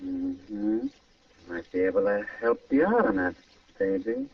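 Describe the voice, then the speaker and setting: A man's voice: mid-range voice, wildly swooping pitch, a little slow, gravelly, heavily acted. An actor playing a slangy, scheming small-time American gangster and ladies' man in an old-time radio crime drama, wheedling in tough street talk. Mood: eager, scheming. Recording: muffled old radio recording, distant mic.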